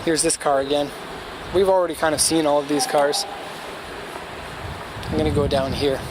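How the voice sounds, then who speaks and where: A man's voice: a little high, fairly even intonation, normal speed. A man giving casual, off-the-cuff vlog commentary as a host, rambling along in an informative, opinionated way. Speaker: host of car auction walkaround video. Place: outdoors at a car auction lot. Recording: loud outdoor noise with vehicle sounds.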